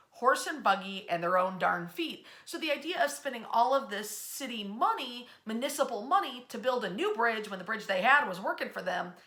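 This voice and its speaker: A woman presenting local history as an animated storyteller, in a conversational, emphatic and folksy way. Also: a little high.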